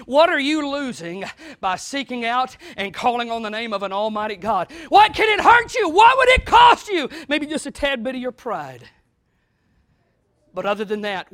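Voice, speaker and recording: A man preaching a sermon in a small church, dropping to quiet talk and then rising into shouted preaching. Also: no room sound. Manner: swings from low conversational talk to shouted preaching. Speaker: pastor.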